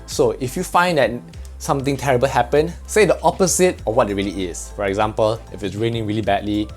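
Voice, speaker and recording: A man's voice: mid-range voice, fast talker, faint music behind. A man from Malaysia with a Malaysian English accent, explaining things in a chatty, joking vlog. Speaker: vlogger.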